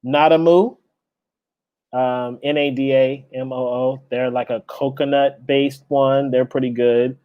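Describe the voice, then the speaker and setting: A man who is a guest chef, relaxed and thoughtful in casual interview chat over a video call. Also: mid-range voice, a little slow, smooth, dry recording. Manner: relaxed, thoughtful, laughs easily. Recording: dull lo-fi call audio.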